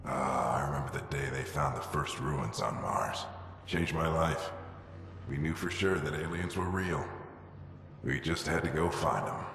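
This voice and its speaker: A gruff middle-aged veteran explorer and father, with an extremely deep, gravelly male voice. He speaks in a measured, reflective way for a game cutscene, in an echoey space. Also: fairly bright tone, full character voice. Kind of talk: video game cutscene acting. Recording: echoey reverberant room, faint background noise.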